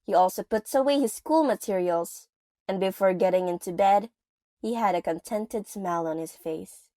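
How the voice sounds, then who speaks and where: A woman's voice: medium pitch, moderate pace, warm tone, dry recording. A woman reading scripted narration for an audio drama as its storyteller. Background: music.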